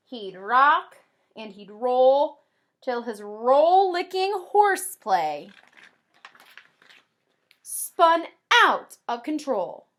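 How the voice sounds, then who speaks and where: A woman kindergarten teacher doing an expressive read-aloud for young children, with drawn-out emphasis and simple explanations. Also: high-pitched. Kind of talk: animated children's read-aloud with comprehension questions.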